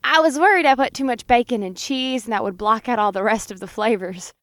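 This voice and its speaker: A man's voice: very high voice, fairly quick, fairly bright tone, no room sound, studio quality, voice pushed up. A man voicing a cheerful female succubus, eager and chatty as she explains things. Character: cheerful red-headed female succubus cook.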